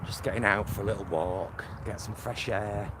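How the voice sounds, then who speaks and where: A man's voice: on the low side, normal speed. A middle-aged man with a Greater Manchester accent, chatting casually and rambling in a slightly camp way as he walks and films himself. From England. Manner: chatty, rambling, campy endearments. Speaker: vlogger. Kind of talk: casual chat to camera while walking. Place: outdoors, walking along a suburban road. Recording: loud outdoor street and traffic noise.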